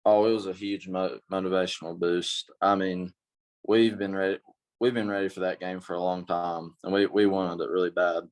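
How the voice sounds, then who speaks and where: A young male basketball player answers in a low, flat voice. He keeps it short and simple, with the odd "I mean.". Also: moderate pace. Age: young adult. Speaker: high school basketball player. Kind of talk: casual interview answers.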